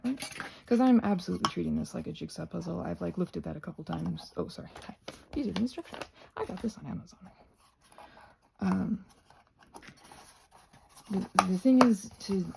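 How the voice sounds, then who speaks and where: An American woman rambling casually while she does crafts, halting and trailing off into soft-spoken asides. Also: mid-range voice, creaky, background noise. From United States. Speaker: craft and ASMR channel host.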